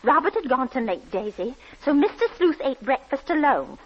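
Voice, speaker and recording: A woman's voice: high-pitched, very animated, moderate pace, a little hiss or hum. An actress playing a flustered middle-aged landlady in a 1940s radio drama, with a breathless, dramatic delivery. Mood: uneasy, pitying. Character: anxious, flustered working-class landlady and mother, middle-aged. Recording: dull 1940s radio recording with music.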